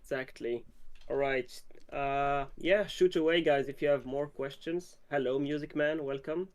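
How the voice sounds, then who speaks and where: This is a man from Morocco hosting an informal livestream. He speaks conversationally to his community, as part of a tabletop game publishing team. His voice has a middling pitch for a man. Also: measured pace. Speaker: stream host and game publisher team member. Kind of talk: casual livestream Q&A chat.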